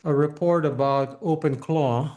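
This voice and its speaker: A man's voice: a little high, unhurried, a little mellow. A man hosting a conversational interview, probing and checking his understanding with repeated questions. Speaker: podcast host, interviewer.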